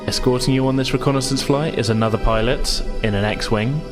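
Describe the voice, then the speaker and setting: A man narrating a tabletop game as game master, with measured, descriptive storytelling. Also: mid-range voice, steady tone, over music.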